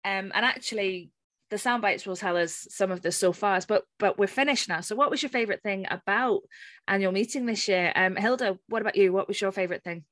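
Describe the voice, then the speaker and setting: A woman's voice: mid-range voice, brisk, a bit bright. A middle-aged woman hosting a podcast, casually moderating a chat between guests on a video call. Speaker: podcast host.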